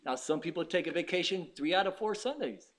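A man in his fifties preaching a sermon, emphatic, swinging from loud urging to hushed asides and acting out his listeners' objections. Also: on the high side, animated, fairly quick, noisy background. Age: 50s. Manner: acts out the doubting listener's objections. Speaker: pastor.

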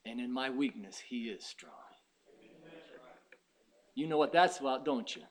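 A man in his fifties preaching a sermon, emphatic, swinging from loud urging to hushed asides and acting out his listeners' objections. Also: medium pitch, animated, a little slow. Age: 50s. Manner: acts out the doubting listener's objections. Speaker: pastor.

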